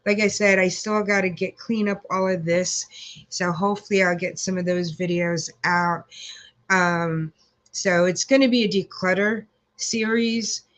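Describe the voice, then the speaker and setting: A woman hosts a relaxed live chat, talking at an unhurried, slow pace in a somewhat low voice. She sounds congested and rambles with lots of ums and uhs, breaking off to greet viewers. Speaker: livestream host.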